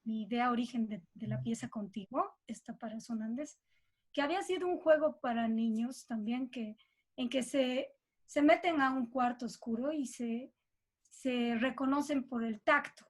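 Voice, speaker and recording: High-voiced Bolivian woman in conversation. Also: moderate pace. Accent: Bolivian Spanish. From Cochabamba, Bolivia. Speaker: guest composer. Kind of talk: conversational interview about own work.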